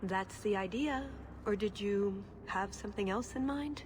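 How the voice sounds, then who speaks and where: A woman's voice: mid-range voice, measured pace, noisy background, lightly acted. A woman playing a confident, wry elven assassin-thief in a video game cutscene, teasing and coolly self-assured. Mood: composed, persuasive.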